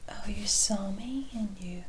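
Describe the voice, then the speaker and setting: Slow, whispering playful witch, acted by a woman. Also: medium pitch, slight background noise, natural voice. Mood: teasing, curious. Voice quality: breathy whisper. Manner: slow, coy, teasing, addressing the listener as honey. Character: playful, enigmatic forest witch, amused and teasing. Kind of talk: whispered ASMR roleplay.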